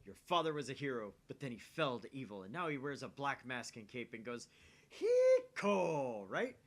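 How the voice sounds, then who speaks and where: A man's voice: mid-range voice, huge pitch swings, moderate pace. A man voicing a brash, nosy young male scientist in a visual novel, with a dramatic, teasing delivery. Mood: pushy, theatrical.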